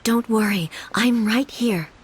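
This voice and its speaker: An actress playing a calm, dignified warrior ruler in a video game, composed and formal. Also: female voice, fairly high pitch, slow pace, a little hiss or hum. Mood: reassuring.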